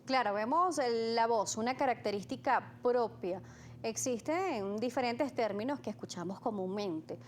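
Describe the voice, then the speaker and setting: A woman hosting a health interview, introducing her guest and asking clear, orderly questions. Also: on the high side, animated, a little slow, slight background noise. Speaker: host.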